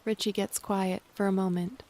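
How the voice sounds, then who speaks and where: A woman's voice: medium pitch, fairly even intonation, normal speed, darkish tone. A woman reading scripted stage directions as the narrator of an audio drama.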